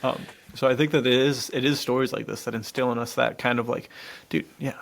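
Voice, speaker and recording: A man co-hosting a podcast, chatting casually and excitably in informal bro-ish slang. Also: medium pitch, brisk, creaky. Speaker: podcast co-host. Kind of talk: casual enthusiastic chat about adventure stories.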